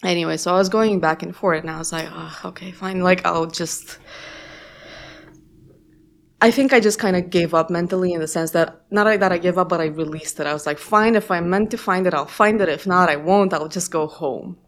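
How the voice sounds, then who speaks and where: A woman's voice: fairly low pitch, brisk, a little hiss or hum. A woman whose first language is Romanian, telling stories casually with frequent laughs and restarts. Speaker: guest. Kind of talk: casual reflective conversation.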